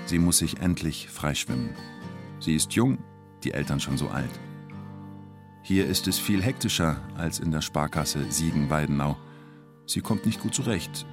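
A man narrating a scripted documentary radio drama in terse, short phrases. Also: sits low. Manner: terse present-tense storytelling in short clipped phrases.

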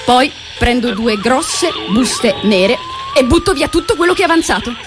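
A young woman acting a weary low-wage worker in a theatre monologue, deadpan and list-like. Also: normal speed. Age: young adult. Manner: repetitive, list-like delivery. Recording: music playing behind the voice.

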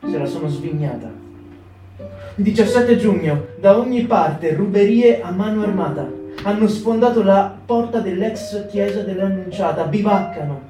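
Man, high voice, grave measured diary reading, distant echoey room, music behind. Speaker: actor in a staged reading. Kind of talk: reading a wartime diary aloud. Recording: roomy radio studio, from a distance, with music.

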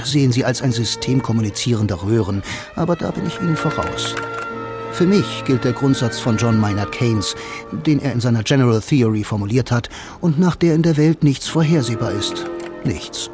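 Radio drama narration over clear music: a sardonic, self-assured blind bank employee played by a male actor. He confides in the listener with dry irony. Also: medium pitch, moderate pace, lightly acted. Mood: dry, matter-of-fact. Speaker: first-person narrator and lead actor.

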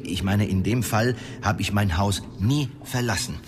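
An actor playing a dithering wizard who explains things dryly and a little flustered in a German fantasy radio drama. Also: male voice, on the low side, a little slow, lightly acted. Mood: matter-of-fact, resigned. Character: fussy, dithering wizard with a dry, bookish manner.

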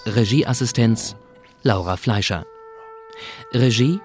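A man's voice: medium pitch, slow, music in the background. A man reading scripted credits as the announcer of a radio play.

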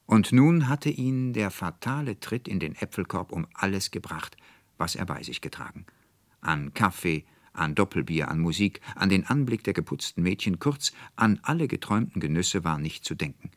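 A man narrating a literary audiobook as an expressive, measured storyteller, recorded in a studio. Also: sits low, no echo. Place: dry studio.

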